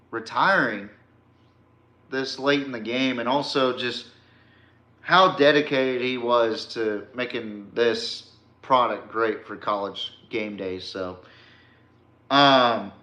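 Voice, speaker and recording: Echoey, bright-voiced man, slow sports talk. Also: medium pitch. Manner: informal, slangy, folksy. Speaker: podcast host. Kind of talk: casual sports talk to viewers. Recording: roomy, echoey sound.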